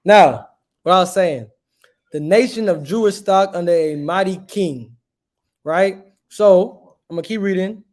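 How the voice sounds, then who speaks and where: A man's voice: high voice, measured pace, darkish tone. A man teaching a livestreamed religious history class, reading passages aloud and preaching emphatically, often checking with "right?".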